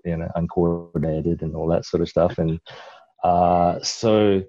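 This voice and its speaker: A middle-aged man from Malaysia talks at length in a very low, warm voice. He rambles and restarts his sentences with many fillers. The recording sounds a little dull and muffled. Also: flat delivery, unhurried. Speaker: interview guest. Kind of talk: casual conversational storytelling.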